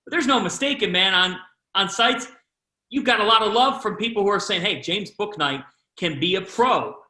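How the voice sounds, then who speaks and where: Male sports interviewer, high bright voice, lively questions, roomy echo. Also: moderate pace. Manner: lively, shifts pace within questions.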